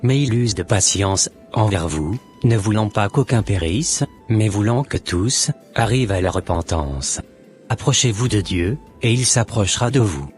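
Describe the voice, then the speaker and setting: A man acting as an earnest, patient believer with a low voice, in a religious cartoon. He speaks solemnly and with measured, preachy phrasing. Music plays behind him. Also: expressive. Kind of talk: scripted animated drama, scripture recitation. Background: background music.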